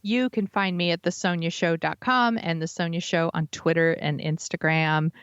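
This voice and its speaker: A woman's voice: on the low side, steady tone, normal speed, clear, resonant tone, dry recording, muffled recording. A woman co-hosting a podcast in casual chat, with an informal, enthusiastic manner. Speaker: podcast co-host.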